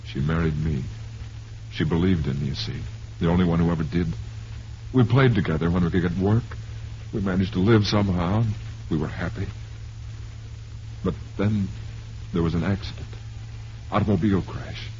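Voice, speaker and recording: An actor playing a desperate down-and-out performer in an old-time radio drama, pleading earnestly and haltingly. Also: male voice, low voice, a little mellow, close to an everyday voice. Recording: muffled, hissy old radio recording.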